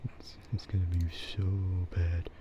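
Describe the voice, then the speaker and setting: A man livestreaming casual ASMR chat in a hushed, rambling way that often slips toward a whisper. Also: level tone, unhurried. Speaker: livestream host. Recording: muffled low-fidelity mic with clear background noise.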